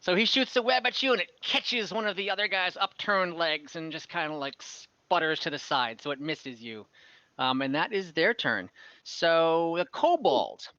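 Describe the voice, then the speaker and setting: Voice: mid-range voice, animated, moderate pace, bright, forceful tone. A game master running an online tabletop game, improvising narration and often thinking aloud with hesitation. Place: room, online voice call. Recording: muffled, echoey room.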